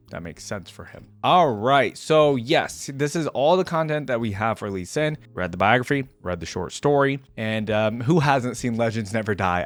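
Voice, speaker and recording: A man's voice: mid-range voice, no echo. A man hosting a casual gaming reaction video, reading aloud and adding informal side comments into a close microphone.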